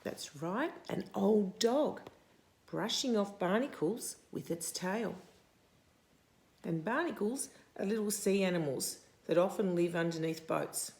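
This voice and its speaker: A woman in her sixties reads a picture book aloud to young children in an expressive, deliberate way, stopping to put questions to them. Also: medium pitch. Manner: deliberate, animated, asking questions of young listeners. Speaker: storytime host reading a picture book to children. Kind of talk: expressive children's read-aloud with asides to the listener.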